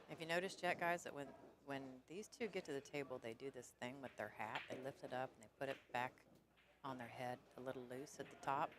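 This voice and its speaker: A woman with a low alto voice commentating on a pool match in a casual, rambling way that laughs easily. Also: a little fast, noisy background. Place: pool hall.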